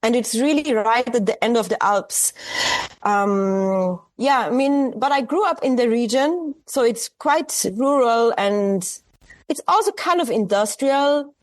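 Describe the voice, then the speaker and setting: A woman's voice: on the high side, lively intonation, measured pace. Austrian woman, thoughtful and hesitant. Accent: Austrian German. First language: German. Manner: thoughtful, hesitant, many fillers and restarts. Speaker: artist guest. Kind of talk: reflective interview conversation.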